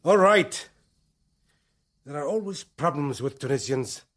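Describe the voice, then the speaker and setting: A suspicious militant leader in a radio thriller. His voice is fairly high for a man, and he speaks in curt, emphatic bursts as someone used to giving orders. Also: animated, unhurried, a bit bright. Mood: grudging. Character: forceful, suspicious militant commander. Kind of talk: radio drama dialogue.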